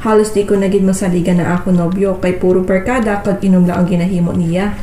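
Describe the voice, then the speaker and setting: A woman's voice: lowish voice, little pitch movement, fast, warm tone, natural voice. A woman playing a hardworking, caring young girlfriend in an amateur radio drama, earnest and conversational, with a Western Visayan Filipino accent. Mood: concerned, resigned. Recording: roomy echo, faint background noise.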